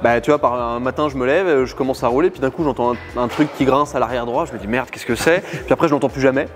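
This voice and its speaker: A man's voice: mid-range voice, fast talker. A man hosting a casual car vlog, chatting with enthusiasm and agreeing readily. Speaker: host visiting car garages. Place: large workshop hangar, indoors. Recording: clear background noise in a garage.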